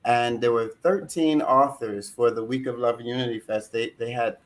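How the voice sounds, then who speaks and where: A man co-hosting a community panel, effusive and quick with praise. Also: mid-range voice, fairly even intonation. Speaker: co-host.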